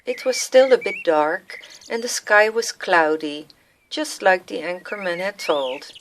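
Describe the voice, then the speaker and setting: A woman's voice: fairly low pitch, measured pace, slight background noise. A woman reading scripted story narration as the narrator of a radio play. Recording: music laid under the voice.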